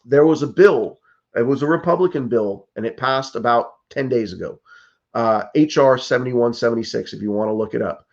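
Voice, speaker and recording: Man, 50s, from the United States, political candidate, earnest, urgent, restarts a lot, mid pitch, muffled lo-fi sound. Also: a little fast. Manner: earnest and urgent, many restarts and "like", "you know". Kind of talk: interview answers.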